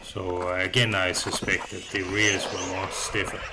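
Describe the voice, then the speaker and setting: A man's voice: low-pitched, little pitch movement, normal speed, background noise. A man giving a casual, unscripted hobby review commentary, with hesitant, rambling phrasing.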